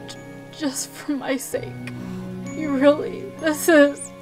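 Gentle, emotional male skeleton played by a woman: high register, unhurried, stammering, protective, amateur dub drama. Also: little pitch movement, over music. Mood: tearful, stunned. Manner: halting, stammering, tender. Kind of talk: amateur comic dub acting.

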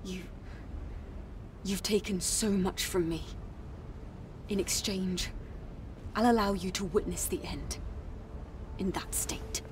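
An actress playing a resolute, vengeful young warrior heroine in a video game, grave and determined, with deliberate phrasing. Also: female voice, mid-range voice, fairly even intonation, distant mic, background noise, natural voice. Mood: cold, vengeful. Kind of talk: dramatic video game voice acting.

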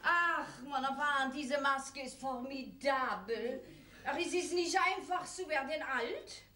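Stage comedy: a grand older Paris lady, affected, French-peppered, high voice, distant roomy stage sound. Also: takes it slowly, pushed and bright, full character voice. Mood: fond. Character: posh, theatrical older woman from Paris, vain and warm. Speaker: Tante Mary, elegant aunt from Paris. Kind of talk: 1970s televised stage comedy. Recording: roomy TV stage recording from a distance, faint hiss.

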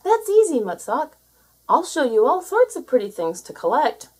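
A woman's voice: high-pitched, huge pitch swings, moderate pace, darkish tone, pitched above the performer's own voice. A woman voicing a small, earnest and clever male seal in a storybook, pleading and exclaiming. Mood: eager.

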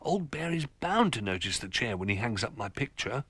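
Little toy bear, young male man acting, earnest, childlike storybook character with high, bright delivery. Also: very animated, normal speed, slightly rough, no echo. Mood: anxious.

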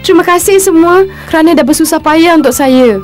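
Gentle cartoon orphan girl, courteous and sorrowful, high voice, faint music. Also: a little fast. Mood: grateful. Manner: soft-hearted, polite. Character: polite, sad young orphan girl. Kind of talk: cartoon voice acting.

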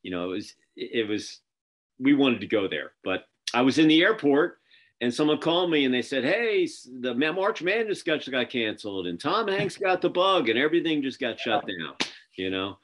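A man's voice: mid-range voice, big pitch swings, moderate pace, a bit bright. A middle-aged man hosting a casual video-call interview, telling stories with many fillers and restarts. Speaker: interview host. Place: office with printed backdrop, indoors. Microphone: video call webcam.

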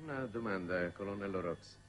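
An actor playing a shrewd, weary middle-aged statesman in a 1960s Italian television drama, speaking in formal, measured phrases. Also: low-pitched, close to an everyday voice. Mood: probing, ironic. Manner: measured, formal, deliberate oratory. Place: television studio set. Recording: noisy old 1960s television soundtrack.

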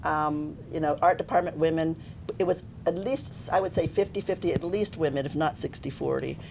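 An older woman film director telling stories in a casual interview, laughing often, with a deep voice. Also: brisk, gravelly, background noise. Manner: lively storyteller, laughs often. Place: basement studio set with couch.

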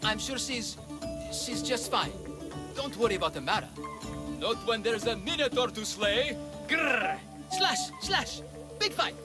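An excitable game huckster who talks in high, swooping bursts, all theatrical sales patter. His voice sits far above the usual male register and leaps around constantly. Game music plays behind him. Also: a little slow. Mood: pushy. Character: excitable male showman running monster trials. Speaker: game character. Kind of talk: video game voice acting.

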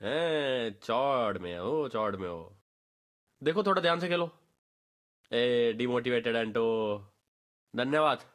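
A man's voice: mid-range voice, slow pace, pauses often. A man voicing a brash, streetwise gamer barking slangy squad callouts for a game voice pack. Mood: wary, cheeky. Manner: animated, slangy, bossy.

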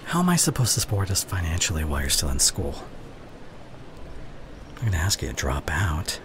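A man playing a young, anxious but tender boyfriend in an intimate ASMR roleplay, speaking gently and reassuringly in a husky, often whispery voice. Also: deep voice, background noise. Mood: anxious, brooding.

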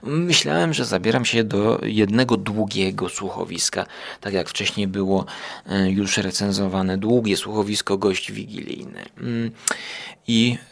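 A man with a fairly low, creaky voice reviews things informally as a podcast host. He speaks slowly and haltingly, often rephrasing as he goes. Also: fairly even intonation.

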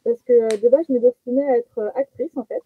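A young woman from French Guiana chatting casually as a guest comedian, animated and self-mocking, telling personal anecdotes over a video call. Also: a little high, quick pace, husky. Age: young adult. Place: small attic bedroom. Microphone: headset on a video call. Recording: muffled phone-like video call sound.

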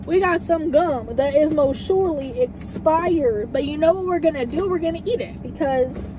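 A young woman with a Southern accent, chatting casually in a playful, excitable way while vlogging from a parked car. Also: high voice, moderate pace. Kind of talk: casual car vlog chat. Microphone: camera on the dashboard. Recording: noisy car with outside ambience.

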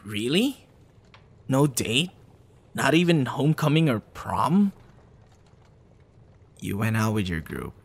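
Guy acting as a soft hesitant young boyfriend in an asmr roleplay, kinda low voice, really slow and tender. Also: full character voice. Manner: soft, hesitant, with sighs and chuckles. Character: tender, hesitant young man courting a close friend. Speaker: audio roleplay voice actor.

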